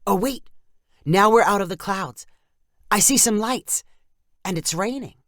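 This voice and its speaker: A woman voicing a calm, practical young groom in a storybook, with a mild, reassuring manner. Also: mid-range voice, unhurried, no echo, clean studio sound, voice pushed up, lightly acted. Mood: watchful.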